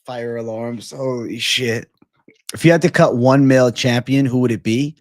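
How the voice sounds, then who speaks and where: A man's voice: mid-range voice, fairly even intonation, normal speed, no room sound. Slangy, profane male livestreamer, dry close sound. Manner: slangy, profane, bro-heavy banter. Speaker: livestream host. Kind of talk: casual livestream chat, reading and answering viewer comments.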